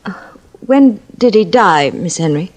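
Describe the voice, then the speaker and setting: A woman's voice: mid-range voice, expressive, a little slow, a little mellow. A woman playing an anxious, insistent and protective grown daughter in a 1950s radio drama, earnest and melodramatic. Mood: tense, polite. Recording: noisy vintage radio recording.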